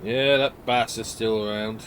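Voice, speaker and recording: A man's voice: on the low side, steady tone, a little slow, noisy background. A man giving casual live commentary as he streams a game.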